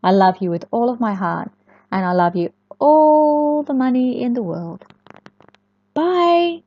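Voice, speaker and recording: A woman storyteller reads to small children in a fairly high, very expressive voice. She adds chatty asides and repeats words for emphasis. The recording is close and dry, slightly dull. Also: measured pace, dry recording. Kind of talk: picture-book storytelling to young children.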